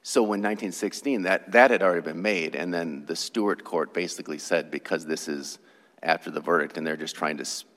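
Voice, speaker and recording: A man questioning counsel from the bench as a judge, probing and thinking aloud in halting phrases. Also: low voice, fairly bright tone. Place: courtroom. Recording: long courtroom reverb.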